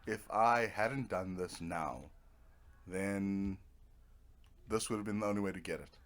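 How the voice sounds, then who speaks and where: A man's voice: deep voice, unhurried. A man giving casual let's-play commentary, offhand and muttering to himself as he plays. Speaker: gamer playing and narrating a video game.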